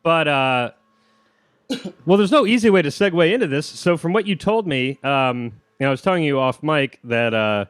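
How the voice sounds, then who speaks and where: A man's voice: on the high side, expressive, normal speed, fairly bright tone, clean tone, dry recording. A man hosting a casual interview, talking in a rambling, self-deprecating, joking way. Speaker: podcast host interviewing a comedian.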